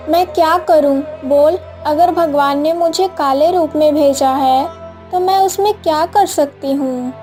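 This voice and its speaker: Woman playing a high-voiced, wronged, gentle daughter-in-law. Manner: gentle, plaintive. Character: kind, hardworking elder daughter-in-law, hurt and wronged. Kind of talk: cartoon moral-story acting.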